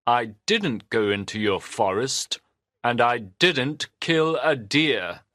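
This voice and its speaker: A man's voice: medium pitch, animated, slow, pushed and bright, no room sound, studio quality. A man voicing a brave, kind village father in a storybook reading, firm and defiant.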